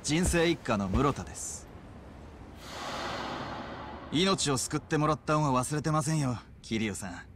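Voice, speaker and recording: A man voicing a deferential, expressive yakuza gangster in a video game cutscene. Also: on the high side, unhurried, long pauses, background noise. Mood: respectful, impressed.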